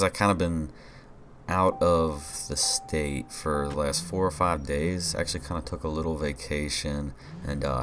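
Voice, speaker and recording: A man's voice: a bass voice, slight background noise. A congested young man giving rambling, casual commentary over gameplay at a home desk mic. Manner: rambling, relaxed, many fillers. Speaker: gaming YouTuber.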